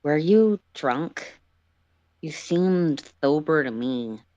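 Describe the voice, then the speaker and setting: Woman acting as wounded angry alien man, very deep for a female voice, demanding, bitter, story reading. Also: animated, very slow, no echo, muffled recording, heavily acted. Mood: hurt, accusing. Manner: accusing, sharp, demanding. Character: bitter, angry, wounded male alien interrogating his betrayer.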